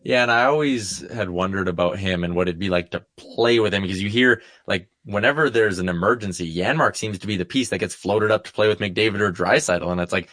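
A man's voice: on the low side, a little fast, few pauses, a bit bright, dry recording, clean studio sound. A man chatting casually about sports as a regular on a talk show.

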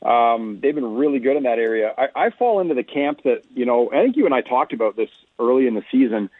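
A man giving conversational sports analysis as a guest, explaining in a measured way over a remote call-in line. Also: medium pitch, animated, no echo. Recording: tinny phone-like radio line.

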